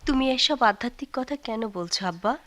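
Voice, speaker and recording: A young daughter in a radio drama, anxious and doting. Her voice is fairly high and bright, with a coaxing, solicitous manner. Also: expressive, fast talker. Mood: concerned coaxing. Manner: pleading, solicitous.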